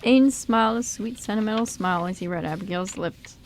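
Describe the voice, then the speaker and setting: Narrator: woman, medium pitch, scripted storytelling for amateur radio drama, faint background noise. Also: a little fast. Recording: some background noise and room ambience.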